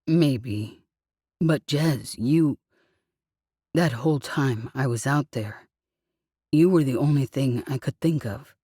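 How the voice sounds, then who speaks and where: A woman voicing an injured young man who feels drunk, mumbling and halting gently. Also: rumbling low, husky, no echo, studio quality, voice pushed down, full character voice. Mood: tender, groggy.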